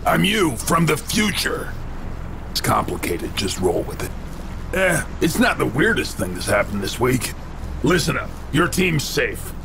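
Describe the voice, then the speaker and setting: An actor playing a cocky, wisecracking action hero in a video game, with a gravelly, deadpan delivery. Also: male voice, medium pitch, unhurried, over music. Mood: wry, calm. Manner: deadpan, sardonic one-liners. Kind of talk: video game cutscene acting.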